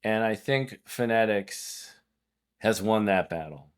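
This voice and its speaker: Male podcast host, Hungarian native, lowish voice, discursive and chatty. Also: expressive, takes it slowly, clean tone. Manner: discursive, wordy, prone to digressions. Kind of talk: conversational podcast interview.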